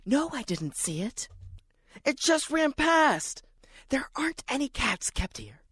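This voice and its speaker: A performer voicing a stern, skeptical woman librarian in a radio drama, reproving and a little motherly in a hushed way. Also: sits high, sing-song, takes it slowly, slightly rough, no room sound, pitched above the performer's own voice, heavily acted. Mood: disapproving.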